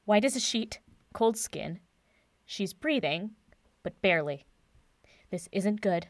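An actress playing a confident, brisk woman professor with a dry wit in a naturalistic audio drama. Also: mid-range voice, animated, no echo. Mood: urgent, focused. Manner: brisk, wry, decisive.

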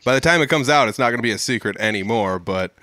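A man's voice: medium pitch, animated, fast talker, sharp tone, no room sound. A young man hosting a casual podcast interview, asking easygoing, chatty questions over a video call. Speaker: podcast host. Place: home room. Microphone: studio mic on a boom, headphones on.

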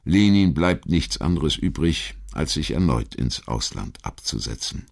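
Deep-voiced male documentary narrator. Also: lively intonation, a little slow, vocal fry. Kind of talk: scripted documentary audiobook narration.

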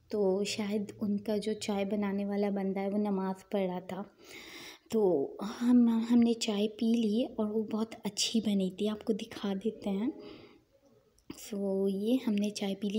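A young woman narrating her day in a chatty, unhurried voice-over, with small fillers and pious asides. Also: medium pitch, warm tone. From Pakistan. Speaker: vlogger.

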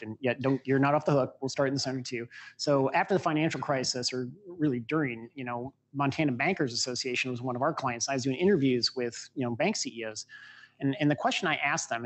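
A man moderating a panel on an auditorium stage, quick and jokey as he prompts the speakers. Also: medium pitch, slightly rough.

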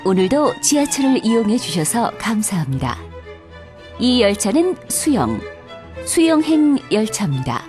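A woman's voice: on the high side, very animated, measured pace, a little mellow, soft background music. A woman reading formal scripted subway announcements in a polite, carefully enunciated way.

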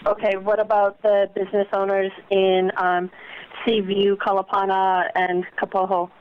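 A woman's voice: medium pitch, monotone, normal speed, clean tone. A woman reporter asking questions on a press conference call. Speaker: media reporter. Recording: thin phone line.

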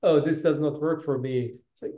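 A man's voice: a little high, fairly even intonation, moderate pace, warm tone. A man in his fifties with a Balkan accent, telling his story casually as an interview guest, often restarting his phrases. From Bosnia. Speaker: interview guest, tech business executive. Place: indoor room, video call. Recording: roomy, reverberant video call audio.

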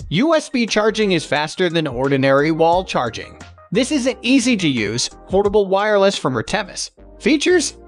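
A man with a high-pitched voice narrates a scripted product countdown. His pitch moves in lively swings from phrase to phrase, with faint music playing behind him.

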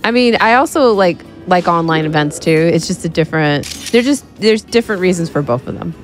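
A woman's voice: on the low side, animated, brisk, soft background music. A woman chatting casually as a commentator, rambling along with lots of filler words. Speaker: speedrun commentator. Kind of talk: casual chat.

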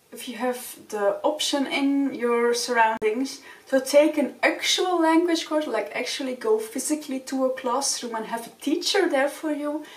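A young woman, Dutch by mother tongue, speaks English in a friendly, earnest vlog voice, a little higher than most. She sits a few feet from the camera, so her voice comes across distant and roomy in a small wood-panelled room. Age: young adult. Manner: friendly, earnest, gestures with open hands. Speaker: vlogger. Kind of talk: casual advice talk to camera. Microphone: camera a few feet away. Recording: roomy sound from a distant mic.

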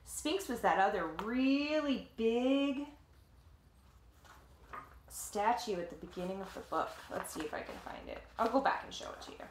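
High-pitched, animated woman reading a storybook. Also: slight background noise. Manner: dramatic, deliberate phrasing when reading, simple explanations for children. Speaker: teacher reading a picture book to children. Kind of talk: expressive storybook read-aloud with explanatory asides. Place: living room, indoors. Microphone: camera or phone a short distance away. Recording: roomy home echo.

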